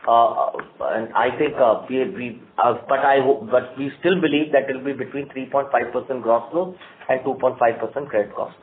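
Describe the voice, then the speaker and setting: A man speaking as a company executive, answering analysts' questions off the cuff, halting and repeating his words. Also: mid-range voice, narrow, tinny audio. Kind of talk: unscripted earnings call Q&A. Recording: noisy phone conference line.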